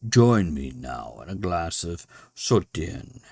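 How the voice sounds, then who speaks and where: A man voicing a genial, pompous older gentleman who runs a madhouse, in a dramatic storybook reading with theatrical, self-assured delivery. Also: deep voice, sing-song, takes it slowly, creaky, breathy edge, dry recording, clean studio sound, full character voice. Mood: kindly.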